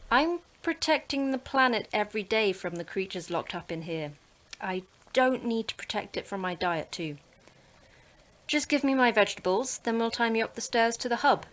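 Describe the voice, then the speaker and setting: A woman's voice: fairly high pitch, lively intonation, normal speed, a bit bright. A woman playing a terse, sarcastic facility manager. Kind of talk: audio drama acting.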